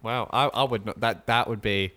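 A man co-hosting a podcast in casual chat, rambling and joking with halting restarts. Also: lowish voice, steady tone, sharp tone. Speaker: podcast co-host. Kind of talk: casual chat, mock sponsor read.

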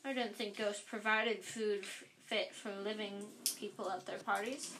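A woman's voice: medium pitch, fairly even intonation, unhurried, background noise, lightly acted. A woman playing a cold, sneering teacher.

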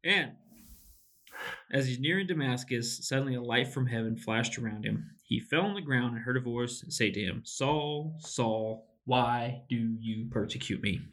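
A man's voice: medium pitch, a little slow, a bit bright. A man casually discussing a Bible story, laughing often and restarting his sentences as he goes. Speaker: podcast co-host.

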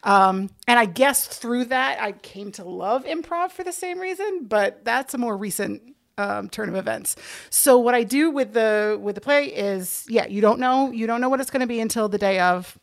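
Enthusiastic woman with a creaky voice. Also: on the high side, moderate pace, no room sound, studio quality. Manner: enthusiastic, emphatic, repeats herself for stress. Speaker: guest, organizer of a play-reading group; actor. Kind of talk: casual podcast-style interview chat.

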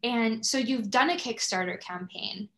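A woman's voice: on the high side, a little slow, a bit bright. A woman hosting a podcast, chatting in an appreciative, effusive way as she interviews a guest. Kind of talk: conversational interview.

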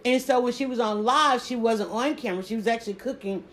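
A woman with a Southern accent chatting casually on a live stream, talking quickly and restarting her sentences often. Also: on the high side. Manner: rapid, stumbling restarts, animated emphasis. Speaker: social media creator.

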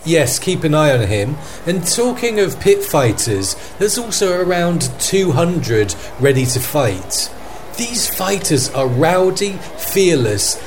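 A man playing an earnest war correspondent, giving measured, emphatic reports in a fantasy radio news play. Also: fairly high pitch, a little hiss or hum. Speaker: field correspondent.

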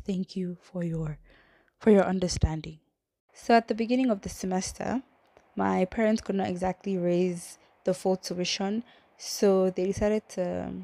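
A young woman in her twenties with a cold, talking casually on a faith podcast, rambling and apologetic and often sinking into a breathy near-whisper. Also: lowish voice, warm tone, no room sound. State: has a cold. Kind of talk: casual testimony podcast talk.

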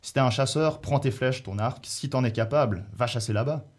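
A man's voice: medium pitch, brisk, close to an everyday voice. An angry, poor old village woman from a storybook epic, performed by a male storyteller. She scolds and declaims her grievances at length. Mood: angry scolding.

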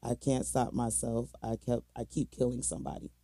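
Dark-voiced American man with a gravelly edge. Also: mid-range voice, little pitch movement, normal speed, dry recording. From Minnesota, United States. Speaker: podcast co-host telling a true crime case. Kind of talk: casual true crime storytelling with slang.

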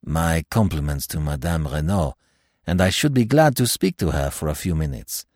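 A courteous old magistrate with a deep, hushed, formal delivery, as a narrator drops his voice for him. He sounds polite and measured, recorded dry and close. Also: animated, dry recording. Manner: low, quiet, formal politeness.

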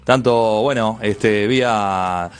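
A man's voice: lowish voice, takes it slowly. A man with a Uruguayan accent presenting a radio segment in a chatty, rambling way, circling back on his phrases. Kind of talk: casual radio chat. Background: music in the background.

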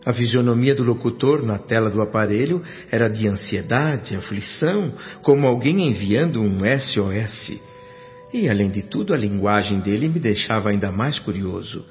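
An actor playing an earnest, astonished newcomer to the spirit world, speaking and narrating expressively in a radio drama. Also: male voice, lowish voice, close to an everyday voice. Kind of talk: radio drama dialogue and first-person narration. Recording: slightly dull band-limited sound with music. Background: music.

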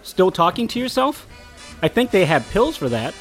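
A quirky wisecracker played by a man in a comedy audio drama. His voice is fairly high and very animated, tossing out wry remarks. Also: moderate pace, faint music behind. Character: wisecracking, quirky male gadget-hobbyist pal.

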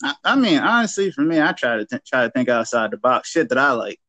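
A man's voice: mid-range voice, big pitch swings, quick pace, bright, forceful tone, no echo. A man in his thirties chatting casually as a wrestler guest on a podcast, with slangy, sweary informal talk. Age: 30s.

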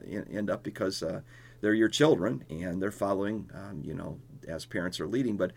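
A mature man with a gravelly, fairly low voice hosts an interview podcast. He speaks as a church pastor introducing and questioning guests. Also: moderate pace, darkish tone.

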